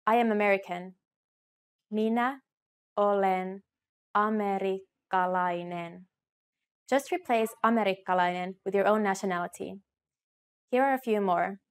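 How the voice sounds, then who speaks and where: A young woman reads a scripted lesson straight to camera. She says each phrase slowly and clearly, as a model for learners. It was recorded on a studio mic. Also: mid-range voice. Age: young adult. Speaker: language teacher.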